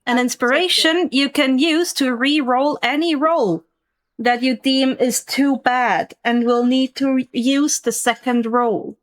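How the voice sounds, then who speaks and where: Woman dm narrating, high voice, takes her time, very dry audio. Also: unhurried, dry recording. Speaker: game master. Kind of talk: narrating a tabletop roleplaying game.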